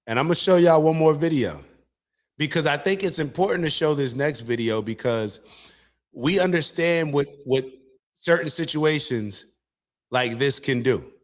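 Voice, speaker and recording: A man's voice: fairly high pitch, lively intonation, normal speed. A man hosting an online show and giving casual political commentary. He speaks earnestly, talks to viewers as y'all, and often restarts his sentences. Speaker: show host.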